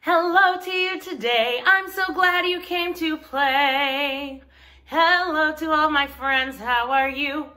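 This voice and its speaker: A woman hosting a story time for young children, speaking directly to them in simple, clear phrases as she talks and reads aloud. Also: high voice, slow, pushed and bright, faint music behind. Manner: addresses listeners directly, simple explanations with check-in questions.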